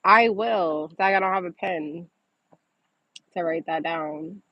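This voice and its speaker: A woman's voice: lowish voice, measured pace, no room sound. Woman livestreamer, casual and chatty, dull audio. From Massachusetts. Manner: informal, chatty, often laughing as she talks. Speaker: livestream host. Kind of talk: casual livestream chat reading and answering viewer comments.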